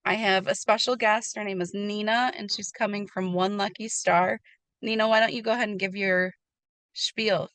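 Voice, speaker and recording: A woman's voice: mid-range voice, fairly even intonation, moderate pace, a bit bright. A woman with an American accent, speaking conversationally as the host of a podcast.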